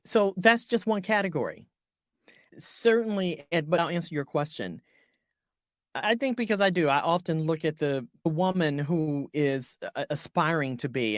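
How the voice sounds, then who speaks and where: A woman voiceover artist talking through her business in an interview, halting often and correcting herself mid-sentence. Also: deep voice, lively intonation, no echo, studio quality. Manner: halting, many restarts and self-corrections. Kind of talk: conversational business coaching interview.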